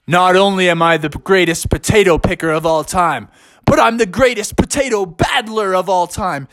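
A man's voice: high voice, moderate pace, a bit bright, dry recording, studio quality. A man voicing a boastful, playful father bear, a potato farmer with a grandiose, jolly manner in a children's story. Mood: proud, boastful.

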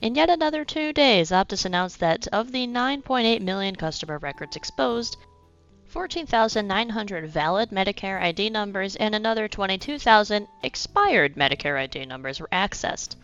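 A woman's voice: medium pitch, animated, normal speed, fairly bright tone, smooth, highs cut off, soft background music. A young woman hosting a podcast, narrating a script with a slightly congested voice from a cold and dropping in wry asides. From United States. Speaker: podcast host.

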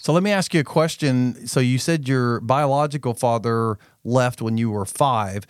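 A man works as the interviewer, putting questions in a conversational style. His pitch is average for a man, and the sound is very dry, with no room echo. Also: moderate pace, smooth. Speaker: interviewer, podcast host.

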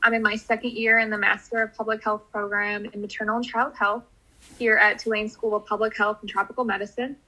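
Bright, breathy young woman co-hosting. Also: mid-range voice, flat delivery, a little fast, poor audio. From outside St. Louis, Missouri. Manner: friendly, conversational with fillers. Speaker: podcast co-host, graduate student. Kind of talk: podcast co-hosting.

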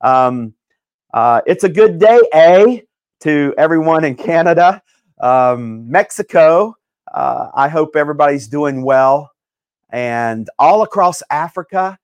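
Upbeat host with a dry, close sound. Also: male voice, a little high, no echo. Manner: upbeat, shouting out names with fillers. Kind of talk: live show greeting viewers.

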